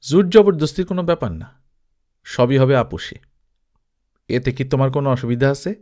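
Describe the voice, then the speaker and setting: A man voicing a wealthy, shady village trader in an audiobook story, brazen, calm and domineering, talking colloquially and justifying himself with rhetorical questions. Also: mid-range voice, brisk, lightly acted. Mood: brazen, dismissive.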